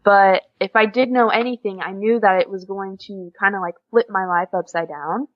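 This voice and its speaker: A young American woman chatting casually as a podcast guest, telling stories in a lively, conversational way. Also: mid-range voice, normal speed. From USA. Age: young adult. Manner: chatty, animated storytelling with quoted dialogue and frequent giggles. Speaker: podcast guest, exchange student. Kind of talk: casual interview chat. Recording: slightly dull, lo-fi audio.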